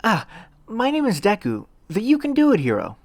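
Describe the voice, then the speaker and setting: Flustered teen hero boy played by a male reader, polite, stammering, raised pitch, audiobook dialogue. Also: wildly swooping pitch, moderate pace, no echo, full character voice. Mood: eager, explaining. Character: earnest, flustered teenage boy hero in training.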